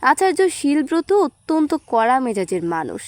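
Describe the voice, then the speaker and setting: A woman narrates a literary story in a distinctly high voice. The recording is dry and close, with her scripted reading at the centre. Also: big pitch swings, moderate pace, a little mellow, no echo. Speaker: audio story reader.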